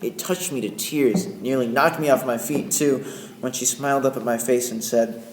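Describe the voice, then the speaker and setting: A man's voice: mid-range voice, normal speed, slight background noise, close to an everyday voice. A man playing a flustered, indignant Yankee wizard, narrating an old-time radio play with theatrical, emphatic delivery. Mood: wistful. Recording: echoey room, music behind.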